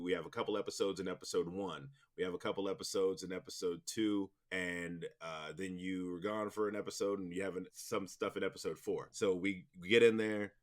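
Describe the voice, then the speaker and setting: A man talking casually and enthusiastically as an interview guest about his work, rambling in an informal way. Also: low-pitched. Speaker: interview guest, audio drama producer.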